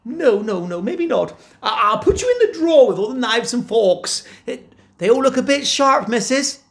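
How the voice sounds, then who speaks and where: A man voicing a flustered, kindly giant's wife, in a high fluttery put-on voice. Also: sing-song, moderate pace, creaky, a bit bright, heavily acted. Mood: dithering. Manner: fussy, dithering, talks to herself.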